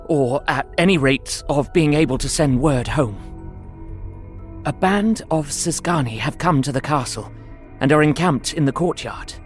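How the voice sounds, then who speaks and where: An actor playing an earnest, anxious young solicitor held captive, narrating his journal in a measured, literary way for an audio drama. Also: medium pitch, close to an everyday voice. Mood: guarded hope.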